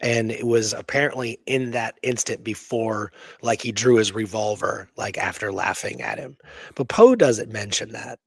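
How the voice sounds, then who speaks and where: A man's voice: on the low side, normal speed, gravelly, husky, no echo. Casual man talking history, dry close sound. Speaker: podcast co-host presenting history research.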